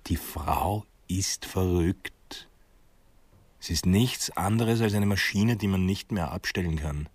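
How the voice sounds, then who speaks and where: An actor playing a stubborn, brooding private detective who muses dryly and wryly in a radio play. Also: male voice, deep voice, slow pace, breathy edge, dry recording, exaggerated voice. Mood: grim musing. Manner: reflective, dry first-person musing. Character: stubborn one-armed male private detective, brooding and wry.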